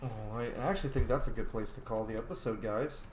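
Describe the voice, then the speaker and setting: A man casually commenting on a role-playing video game as he plays, chatty and excitable, swearing freely at the game. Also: on the low side, fast talker, a little hiss or hum. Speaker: gameplay commentator. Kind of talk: casual let's-play commentary. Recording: roomy echo with game music. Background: video game music and sound effects.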